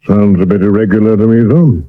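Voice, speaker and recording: An actor playing a tired, dry, dogged Victorian police detective in a 1970s radio drama, understated and matter-of-fact. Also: male voice, lowish voice, fairly quick, close to an everyday voice. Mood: deferential, doubtful. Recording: muffled old medium-wave radio recording.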